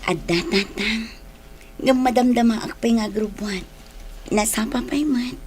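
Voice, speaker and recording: A woman's voice: on the high side, a little hiss or hum, natural voice. A woman acting a heartbroken young woman in a radio drama, pleading, with an Ilocano Filipino accent. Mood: resigned. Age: young adult. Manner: pleading, drawn-out delivery. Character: heartbroken young woman, dumped by her boyfriend, tearful.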